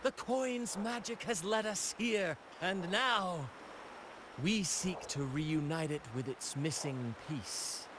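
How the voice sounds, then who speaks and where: A man's voice: high voice, takes it slowly, background noise. A man playing a flamboyant young pirate captain and showman in a video game, with a theatrical, declamatory delivery. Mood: grandiose. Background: game background music.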